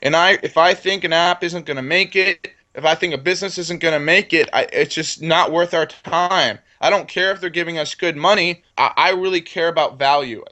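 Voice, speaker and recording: A man in his twenties with a slightly high voice pitches his business in a conversational interview. He sounds sure of himself and a bit boastful, stressing points through repetition. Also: brisk, hardly any pauses, sharp tone. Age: 20s. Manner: self-assured, boastful. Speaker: entrepreneur interview guest.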